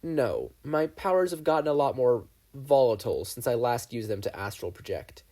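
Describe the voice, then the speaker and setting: A man voicing a troubled, haunted young man in a read-aloud story, quiet and halting with a gravelly voice. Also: medium pitch, expressive, close to an everyday voice. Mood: somber.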